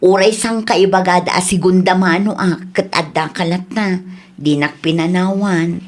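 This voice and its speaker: A woman's voice: fairly low pitch, steady tone, normal speed, natural voice. A woman playing an affectionate, grateful young village wife with an Ilocano accent in a radio comedy drama. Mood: grateful, tender.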